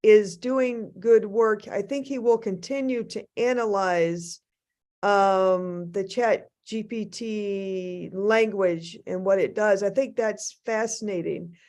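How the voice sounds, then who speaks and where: A woman's voice: mid-range voice, fairly even intonation, slow pace, darkish tone. A woman speaking conversationally as a panelist in a casual discussion on a video call. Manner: conversational, light laughter.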